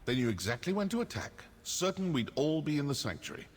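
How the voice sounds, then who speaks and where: An actor playing a suave, vengeful assassin in a video game, speaking in a measured, deliberate way. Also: male voice, on the high side, very animated. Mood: suspicious. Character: suave, vengeful male assassin hunting a cult.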